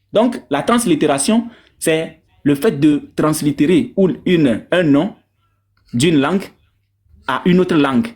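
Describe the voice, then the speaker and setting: A man from Togo, giving polemical religious commentary in an animated, mocking way, repeating phrases for emphasis. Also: on the high side.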